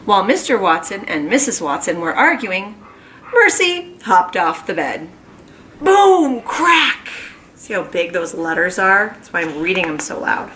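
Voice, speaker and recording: A woman who teaches kindergarten, reading a children's book aloud at home in an animated, expressive way. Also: medium pitch, slight background noise. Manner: animated, slow and expressive storytelling.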